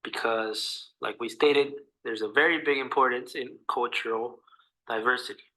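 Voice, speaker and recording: A young man from Mexico with a Mexican accent, earnestly giving a class presentation in a halting way over a video call. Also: mid-range voice, sharp tone, rough recording. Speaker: student presenter.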